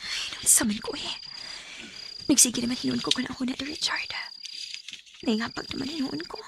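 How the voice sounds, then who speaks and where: A woman acting a mistreated, soft-hearted wife and mother in a radio drama, pleading with a Visayan Filipino accent. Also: high voice, moderate pace, creaky, whispered. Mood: reflective, troubled.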